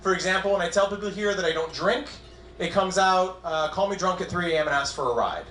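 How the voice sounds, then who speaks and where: A man's voice: high voice, fast talker, fairly bright tone, slight background noise. A man in his twenties from New England with a New England accent, doing a wry, self-deprecating stand-up set on a comedy club stage. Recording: echoey club room.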